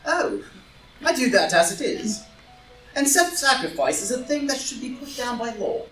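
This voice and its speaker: A woman playing a witty, dandyish gentleman, flippant yet loyal, with dry, declamatory repartee in an audio drama reading of a play. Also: fairly high pitch, sing-song, moderate pace. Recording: roomy, distant live reading with music.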